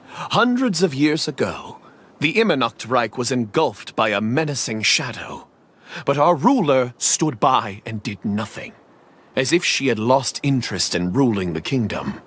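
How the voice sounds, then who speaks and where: A man's voice: medium pitch, a bit bright, husky, full character voice. A man voicing an earnest, devoted would-be knight in a game, with a solemn, reverent, theatrical delivery.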